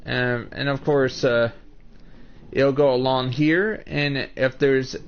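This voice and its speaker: Twenty-something guy streaming a game, walks chat through each step, mid-pitched, kinda muffled cheap mic. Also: steady tone, slow pace. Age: 20s. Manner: explains step by step, addressing chat viewers by name. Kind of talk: casual gameplay commentary.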